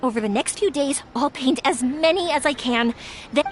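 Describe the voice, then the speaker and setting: A woman's voice: high-pitched, moderate pace, a little hiss or hum, lightly acted. A woman voicing a cheery, determined young woman in a video game, eager, earnest and encouraging.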